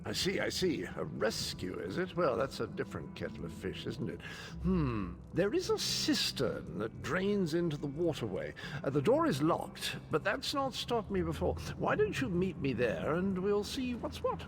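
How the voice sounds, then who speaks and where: An actor playing an eccentric, cheerful old British gentleman knight in a video game, with jaunty, pompous phrasing. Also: on the high side, wildly swooping pitch, normal speed. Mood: jaunty, eager. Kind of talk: video game character acting. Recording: music behind the voice.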